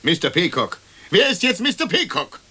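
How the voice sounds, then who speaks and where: A man's voice: sits high, sing-song, moderate pace, bright, forceful tone, slightly rough, exaggerated voice. An actor playing an agitated, resentful dead businessman in a German radio play. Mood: indignant.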